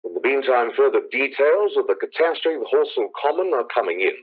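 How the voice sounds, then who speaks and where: A formal male radio announcer, performed by an actor in a 1930s-style drama. His delivery is composed yet urgent, with very lively intonation. The voice comes through thin, phone-like vintage radio sound. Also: medium pitch, brisk, breathy edge. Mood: grave, urgent. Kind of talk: 1930s radio bulletin acting.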